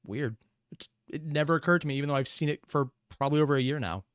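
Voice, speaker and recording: A man's voice: fairly high pitch, fast talker, smooth, no echo, clean studio sound. A man giving casual, analytical reaction commentary, musing aloud as he thinks through what he watches. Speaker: reaction video host.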